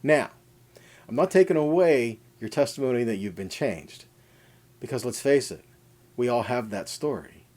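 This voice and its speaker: A man's voice: medium pitch, animated, normal speed, long pauses, a little mellow. A man teaching an informal sermon in a conversational way, addressing listeners directly and stressing points with repeated, parallel phrases. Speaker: preacher teaching a scripture lesson. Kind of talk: informal sermon with scripture readings.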